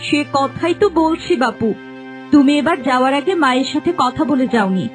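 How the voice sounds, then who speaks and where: Woman as cartoon daughter-in-law, high voice, spiteful, scolding, scheming, faint music behind. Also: a little fast, lightly acted. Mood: feigned concern. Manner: sharp, scolding, dismissive. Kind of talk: cartoon voice acting.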